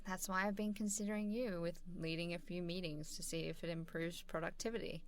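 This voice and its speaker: A woman playing a warm, supportive, easygoing boss in a casual, encouraging ASMR role-play. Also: on the low side, little pitch movement, a little fast, natural voice. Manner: encouraging, casual, chuckling hesitantly between phrases. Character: supportive, warm, easygoing female boss giving a praising review. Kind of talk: ASMR role-play, softly conversational one-sided dialogue.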